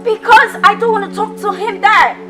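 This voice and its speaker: A woman's voice: very high-pitched, steady tone, moderate pace, sharp tone, soft background music. An actress with a Nigerian accent playing a defiant, aggrieved young girlfriend in a film drama. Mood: pleading, defensive.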